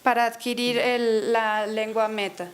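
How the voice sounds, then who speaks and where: A woman interprets a lecture phrase by phrase in a university auditorium. Her voice is somewhat high, and she hesitates often, filling gaps and restarting words to correct herself. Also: level tone, measured pace. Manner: hesitant, many fillers and self-corrections.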